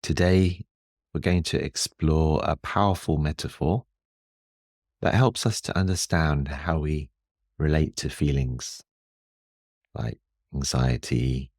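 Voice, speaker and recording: A man guiding a meditation in a calm, soothing and measured manner, leaving long pauses. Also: rumbling low, no room sound, studio quality. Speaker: meditation guide. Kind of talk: guided meditation.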